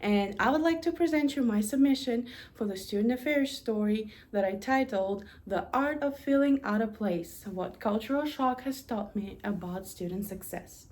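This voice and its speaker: A woman's voice: fairly high pitch, moderate pace, few pauses, a little mellow. Earnest Russian-accented woman telling her story. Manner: earnest, lists in rhythmic emphatic beats. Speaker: university administrator. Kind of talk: prepared personal story talk.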